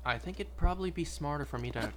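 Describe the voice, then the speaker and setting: Young guy rambling over a game stream, kinda high voice, noisy background. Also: animated, fast. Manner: chatty, rambling, wry asides. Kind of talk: casual gameplay commentary.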